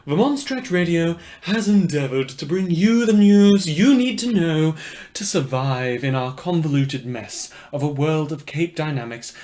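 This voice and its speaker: A man's voice: a little high, a little mellow. Guy doing a creepy polite radio host for a fiction podcast, wordy n theatrical, fake apologetic, voice goes up and down loads. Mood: grandiose welcome. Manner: theatrical, verbose, genteel, mock-apologetic. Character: polite, murderous, inhuman male radio host, darkly cheerful. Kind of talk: scripted fiction podcast monologue as radio broadcast.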